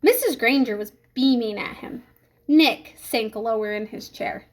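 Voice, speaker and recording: A woman narrating a children's novel aloud as a storybook reader, with expressive scripted delivery. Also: on the high side, a little slow.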